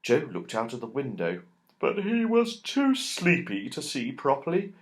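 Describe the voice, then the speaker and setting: A man's voice: mid-range voice, wildly swooping pitch, unhurried. A man narrating a children's storybook expressively, drawing out key words for emphasis. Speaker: audiobook narrator.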